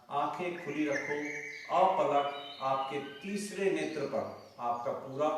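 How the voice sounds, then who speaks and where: A man leads a guided meditation in short, commanding, repeated instructions. He speaks slowly at a medium pitch. His voice comes from off the mic in a heavily reverberant space, with clear background noise. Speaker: yoga and meditation teacher. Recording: very echoey, distant mic, noisy background.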